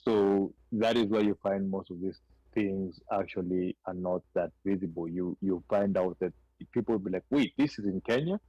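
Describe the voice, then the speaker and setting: A man's voice: sits low, moderate pace, mellow, no room sound, muffled recording. A man co-hosting a talk show, explaining at length and often repeating words as he restarts. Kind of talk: casual conversation.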